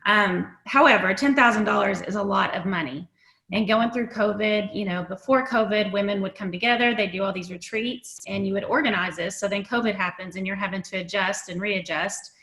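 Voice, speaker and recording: A woman's voice: mid-range voice, brisk. Woman interviewer in an echoey room. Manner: warm interviewer, long framing questions. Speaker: show host. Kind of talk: casual interview chat.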